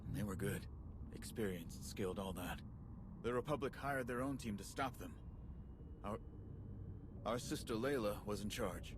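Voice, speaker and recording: A man's voice: fairly low pitch, normal speed, long pauses, noisy background, close to an everyday voice. A man playing a loyal, plainspoken soldier in a video game cutscene, with clipped, informal phrasing. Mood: bitter grief.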